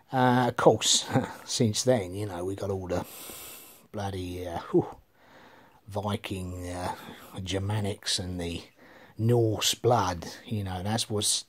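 A middle-aged man rambling casually to his phone camera in a living room, swearing freely and trailing off. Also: lowish voice. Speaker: vlogger. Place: living room with bookshelves, indoors. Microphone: phone camera held at arm's length.

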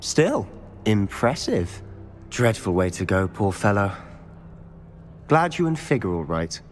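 A British actor playing a self-assured, curious, friendly teenage wizard in a video game. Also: male voice, fairly low pitch, sing-song, takes it slowly, slight background noise. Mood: friendly, impressed. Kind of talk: video game cutscene acting.

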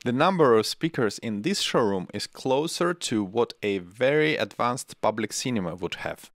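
A man's voice: medium pitch, animated, normal speed, dry recording. A middle-aged man hosting a tech channel, reading scripted narration into a close desk microphone. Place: small home studio room. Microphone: close desk microphone on arm.

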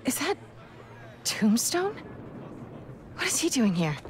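A woman's voice: high-pitched, big pitch swings, takes it slowly, a little hiss or hum, exaggerated voice. An actress playing a spirited young American woman who teases playfully and dryly in a video game cutscene. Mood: surprised. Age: young adult. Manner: playful, dry teasing.

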